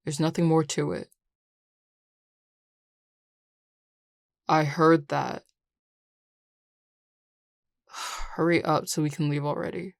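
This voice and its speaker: A woman's voice: sits low, flat delivery, measured pace, gravelly, dry recording, studio quality. A woman playing a grumpy young male coworker in an audio roleplay, curt and sarcastic with exasperated delivery. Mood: guarded, annoyed. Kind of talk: scripted audio roleplay acting.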